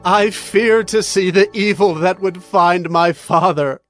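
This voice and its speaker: A man's voice: high voice, takes it slowly, a bit bright, soft background music. A man voicing a desperate pleading brother. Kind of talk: dramatized scripture reading.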